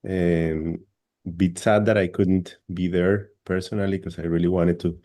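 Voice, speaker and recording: A man's voice: deep voice, flat delivery, a little slow, dark tone. A man from Colombia, an English speaker, giving an academic panel presentation over a video call, hesitant and repeating words often.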